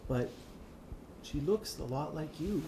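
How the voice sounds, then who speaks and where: A woman's voice: low-pitched, lively intonation, slow pace. A woman voicing a goofy, childlike puppet who co-hosts a kids' show with silly broken grammar and playful improvised chat. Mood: gently curious. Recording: roomy echo, noticeable background noise.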